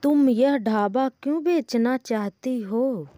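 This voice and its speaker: A woman's voice: on the high side, slow, dark tone, no echo, studio quality. A woman playing a determined, caring poor young mother in a children's cartoon story. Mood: earnest.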